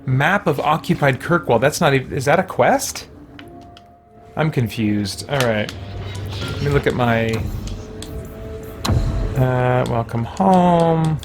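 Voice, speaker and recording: A man's voice: mid-range voice, animated, takes it slowly, long pauses, faint music behind. A man giving casual gameplay commentary as a streamer, musing to himself as he goes. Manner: thinking aloud, musing to himself. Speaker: game streamer.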